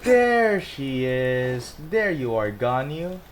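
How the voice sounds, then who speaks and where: A woman gaming streamer with a very low voice talks casually as she plays. Her short reactions come slowly but swing up and down, with clear game noise behind. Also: big pitch swings. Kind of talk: casual gameplay commentary.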